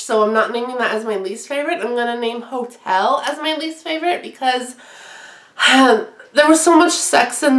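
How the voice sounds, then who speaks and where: A woman's voice: fairly high pitch, fairly bright tone, echoey room. A young woman in her twenties with a stuffy, nasal cold, chatting casually and laughing often while she rambles through a review. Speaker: YouTuber.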